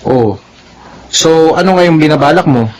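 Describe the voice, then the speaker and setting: Man doing a bitchy gossipy young guy, kinda high voice, sassy and teasing, radio drama. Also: measured pace, darkish tone, close to an everyday voice, low-quality recording. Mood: challenging. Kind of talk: Filipino radio drama acting.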